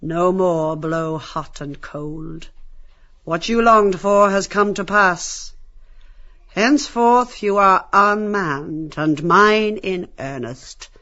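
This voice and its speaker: Casting: woman to play cruel, commanding brothel tyrant for audio drama. Unhurried, gloating delivery, slightly muffled recording. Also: on the low side, sharp tone. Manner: commanding, jeering, theatrical. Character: domineering, sadistic brothel madam turned masterful tyrant.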